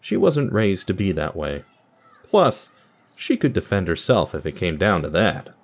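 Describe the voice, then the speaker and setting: A man's voice: low-pitched, sing-song, measured pace. A man giving scripted third-person narration for an audio drama.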